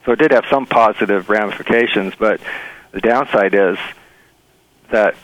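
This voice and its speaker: Man, lowish voice, earnest and enthusiastic, halting conversational delivery, interview guest, muffled radio sound. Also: no echo. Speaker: interviewed bird researcher and author.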